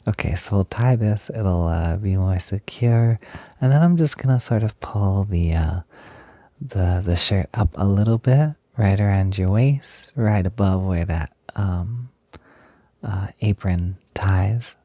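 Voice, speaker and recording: A man acts out a gentle, doting young male roommate for an intimate audio roleplay. His voice is very dark and mellow, soft and breathy, recorded dry. He speaks slowly, with a hesitant, reassuring and gushing manner. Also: deep voice, no echo. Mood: gentle, attentive.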